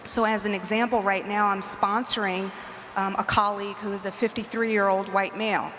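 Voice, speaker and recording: Woman executive on a panel, even, steady delivery with little pitch movement, conference hall reverb. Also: normal speed, a little hiss or hum. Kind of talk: panel discussion. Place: large conference hall stage. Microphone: handheld mic. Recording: roomy hall reverb, faint ambience.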